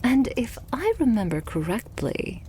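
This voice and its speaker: A woman playing a loving, possessive vampire girlfriend in an intimate ASMR roleplay, murmuring softly and breathily. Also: on the high side, big pitch swings, a little hiss or hum. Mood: playful, teasing. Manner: soft, breathy, slow murmuring that often drops to whispers.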